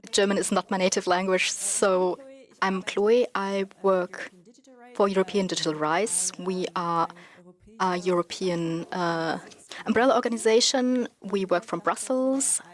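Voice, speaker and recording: Slow, deliberate woman at a panel. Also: mid-range voice. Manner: deliberate, pausing with fillers. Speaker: digital rights advocate on a panel. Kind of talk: conference panel talk.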